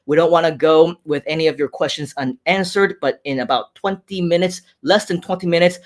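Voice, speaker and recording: High-voiced upbeat live stream host. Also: male voice. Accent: American with Hong Kong hints. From Hong Kong. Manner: upbeat, chatty, repeats phrases, laughs often. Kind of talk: casual live stream chat reading comments.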